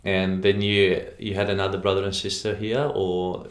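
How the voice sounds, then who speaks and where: A man hosting a relaxed interview, asking short follow-up questions and throwing in teasing jokes. His voice is fairly low. The room has a noticeable echo. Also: moderate pace. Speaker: host. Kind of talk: casual interview chat. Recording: roomy echo.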